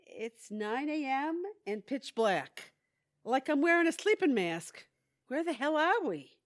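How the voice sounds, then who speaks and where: An actress playing a wry, grumbling older woman, sardonic and deadpan, in an audio comedy. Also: sits high, a little slow, dry recording, clean studio sound. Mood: incredulous. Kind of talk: audio theatre comedy acting.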